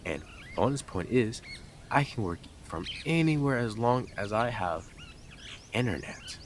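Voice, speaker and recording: A man's voice: mid-range voice, expressive, measured pace, a little hiss or hum, close to an everyday voice. A man playing a blunt, sarcastic, teasing stalker who is devoted to the listener in an intimate roleplay audio drama. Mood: smug, mocking.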